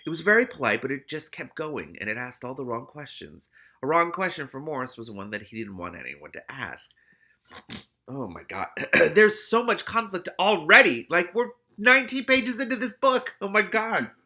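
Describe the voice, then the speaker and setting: A man reading a story aloud in an animated way, stumbling now and then and breaking off to comment casually. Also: fairly high pitch, moderate pace. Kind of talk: audiobook-style read-aloud with casual reactions.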